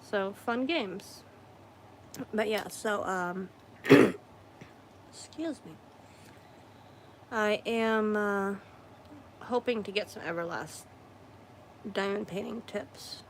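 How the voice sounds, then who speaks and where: A hoarse woman chatting slowly and easily while she crafts at home, clearing her throat often and circling back to fix what she just said. Her pitch sits mid-range, and a faint hum of room noise stays under her voice. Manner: rambling, with frequent throat-clearing and self-corrections. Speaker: craft streamer. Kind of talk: casual livestream chat while crafting.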